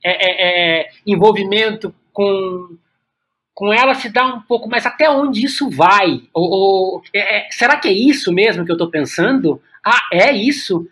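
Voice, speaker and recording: A man with a Brazilian accent speaking reflectively as an interview guest, halting and repeating words as he thinks. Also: high voice, lively intonation, fairly bright tone. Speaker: guest playwright.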